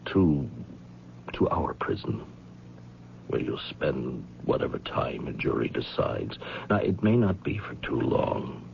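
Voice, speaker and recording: A grave, compassionate investigator in a vintage radio mystery. The voice is very deep and gravelly, coaxing with solemn patience. The old tape is muffled and hums. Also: male voice, animated, takes it slowly, a little hiss or hum, exaggerated voice. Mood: grave persuasion. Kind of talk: 1970s radio drama acting. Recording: dull, humming vintage radio recording.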